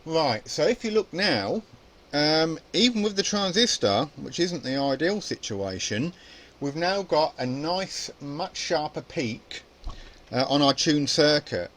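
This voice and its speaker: A man's voice: on the high side, expressive, slow, a bit bright. A man presenting an informal hands-on electronics tutorial, explaining casually and thinking aloud as he goes. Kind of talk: informal spoken tutorial demonstration.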